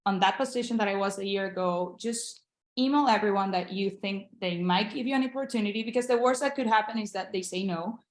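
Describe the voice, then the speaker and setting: A woman's voice: medium pitch, fairly quick. Venezuelan woman in an echoey room. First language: Spanish. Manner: earnest, encouraging, with frequent restarts. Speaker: guest, international medical graduate. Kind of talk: casual interview.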